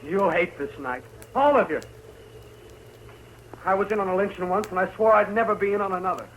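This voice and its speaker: A man's voice: high-pitched, a little fast, a bit bright. An American man acting in a 1950s radio western as a desperate, defiant young condemned outlaw, bitter and strained. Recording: faintly noisy vintage radio drama recording.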